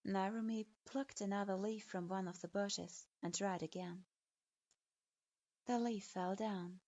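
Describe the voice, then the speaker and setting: Slow, calm woman storyteller. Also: mid-range voice. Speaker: story reader. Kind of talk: reading fan fiction aloud. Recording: dull lo-fi.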